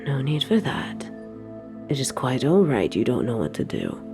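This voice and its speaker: A woman playing an evil, possessive queen in an intimate audio roleplay, speaking formally and seductively in a breathy voice that often drops toward a whisper. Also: very deep voice, wildly swooping pitch, over music, exaggerated voice. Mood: gloating, tender. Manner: formal, regal, slow seductive delivery.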